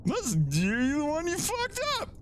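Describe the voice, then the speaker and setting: A man streaming live in casual chat, relaxed, often stammering and repeating words and swearing now and then. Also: very high-pitched, a little slow, a little hiss or hum. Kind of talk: casual live-stream chat while doing language lessons.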